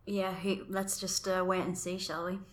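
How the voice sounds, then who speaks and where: A woman co-hosting a podcast, chatting casually and explaining things in a measured way. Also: fairly low pitch, flat delivery. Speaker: podcast co-host. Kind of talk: casual chat.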